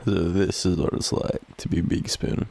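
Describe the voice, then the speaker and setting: A man's voice: low voice, flat delivery, moderate pace, gravelly, darkish tone, a little hiss or hum. A tired man with an Australian accent, speaking sleepily and affectionately in an intimate bedtime boyfriend roleplay. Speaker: audio roleplay performer playing a boyfriend.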